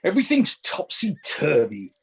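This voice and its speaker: A man's voice: a little high, wildly swooping pitch, normal speed, creaky, breathy edge, heavily acted. An elderly Victorian playwright played by a man in a radio drama. He is grumpy and a little pompous, delivering his complaints with indignant, dry wit. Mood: indignant, wry. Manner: indignant, pompous, dryly witty. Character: grumpy seventy-five-year-old Victorian playwright, curmudgeonly and wry. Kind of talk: radio play acting.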